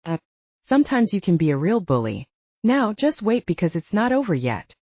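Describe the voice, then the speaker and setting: An outspoken male soldier in a werewolf romance audiobook, acted out by a female narrator. The voice argues and pleads with animated pitch and a mellow edge, recorded a little muffled. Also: normal speed, no room sound. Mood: protesting. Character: outspoken, protesting young male werewolf soldier, defends his friends.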